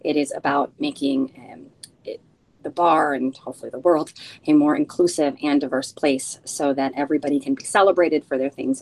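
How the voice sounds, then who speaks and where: Low-voiced woman, earnest and halting. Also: a little mellow, poor audio. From United States. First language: Spanish. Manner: earnest, halting with many fillers. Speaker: bar committee co-chair. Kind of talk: informal spoken introduction.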